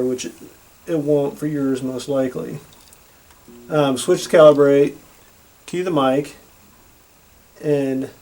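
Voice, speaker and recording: A man's voice: mid-range voice, warm tone, poor audio. A man giving a plain-spoken, instructional product demonstration. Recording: audible background noise with hum.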